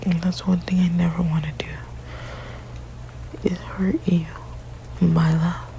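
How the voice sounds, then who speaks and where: A woman whispering softly and haltingly in an ASMR partner roleplay, often restarting her sentences. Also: fairly low pitch, little pitch movement, creaky, slight background noise, low-quality recording.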